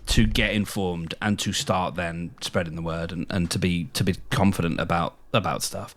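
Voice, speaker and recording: Earnest British man, slightly low voice. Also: steady tone, normal speed. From United Kingdom. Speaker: podcast co-host. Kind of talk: casual chat.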